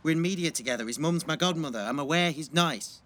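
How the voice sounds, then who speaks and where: A man's voice: a little high, fast talker, a bit bright, a little hiss or hum, natural voice. A young man playing a prickly, guarded teenage schoolboy in an audio drama, with a terse, defensive way of talking. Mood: irritated, defensive. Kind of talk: naturalistic audio drama acting.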